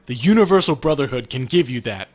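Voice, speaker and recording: A man's voice: medium pitch, lively intonation, fast, a little mellow, lightly acted. Man acting a pleading, zealous ex-boyfriend. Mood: earnest pleading. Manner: urgent, pleading. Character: earnest, zealous man pleading with his ex-girlfriend. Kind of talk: video game dialogue read aloud.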